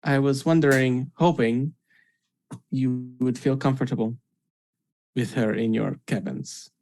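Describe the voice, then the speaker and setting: A calm, protective sailor, put on by a woman with a very low voice. He talks slowly and carefully, steadying a seasick passenger. The delivery is gentle and reassuring. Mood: soothing. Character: gentle male pirate sailor, French, protective.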